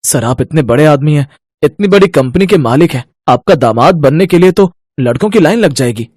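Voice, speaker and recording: An actor playing an eager, respectful young manager and dutiful son in a family storybook tale. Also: male voice, a little high, animated, a little fast, darkish tone, breathy edge, dry recording, clean studio sound.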